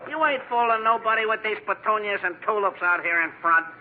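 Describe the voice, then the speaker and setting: An American actor in a radio comedy playing an aggrieved street vegetable peddler with a complaining, slangy way of talking. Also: male voice, high-pitched, brisk, close to an everyday voice. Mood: pushy, aggrieved. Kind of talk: 1950s radio comedy acting. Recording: scratchy, tinny 1950s radio recording.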